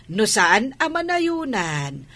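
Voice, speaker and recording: A woman playing an excitable, fretful middle-aged village mother in an Ilocano radio comedy drama, with exclamations and drawn-out scolding. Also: on the high side, dry recording, exaggerated voice. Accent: Filipino, Ilocano.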